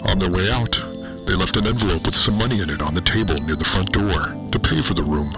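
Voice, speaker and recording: A man's voice: sits low, quick pace, music in the background. A man playing a brooding young space villain, solemnly narrating a radio-style ghost story with self-importance. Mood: solemn, spooky.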